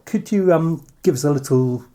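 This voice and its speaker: A man's voice: fairly high pitch, moderate pace, dark tone. A man hosting a podcast, giving introductions and putting interview questions to a guest.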